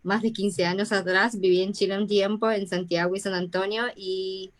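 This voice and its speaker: Brazilian woman panelist, relaxed and chatty. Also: mid-range voice, normal speed. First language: Portuguese. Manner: relaxed, laughs easily, many fillers. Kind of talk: casual panel talk.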